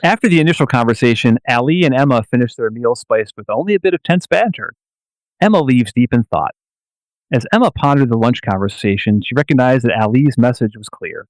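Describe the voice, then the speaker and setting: A man narrating a scripted drama as storyteller, with deliberate, solemn phrasing. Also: medium pitch, lively intonation, no room sound, poor audio.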